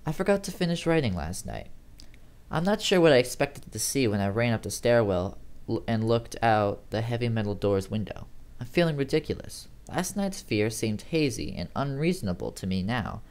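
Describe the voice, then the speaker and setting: Amateur horror narrator, medium-pitched voice, dramatic first-person storytelling. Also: animated, normal speed, a little mellow.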